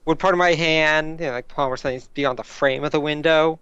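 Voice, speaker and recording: A man with a smooth, slightly high voice plays a tabletop game. He asks casual, probing questions as a player. The audio is dry and somewhat dull. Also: steady tone, fast, a bit bright, dry recording.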